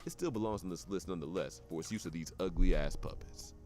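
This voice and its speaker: A man narrates a countdown from a script at a fast clip. His voice is deep, and light music runs underneath it. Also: a little mellow.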